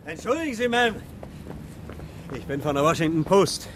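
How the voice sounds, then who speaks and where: A man's voice: a little high, big pitch swings, moderate pace, a little hiss or hum. A man playing a polite, curious newspaper reporter in a radio play. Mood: inquisitive. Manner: polite, inquisitive. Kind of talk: 1980s German radio play acting. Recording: radio drama with outdoor street sounds.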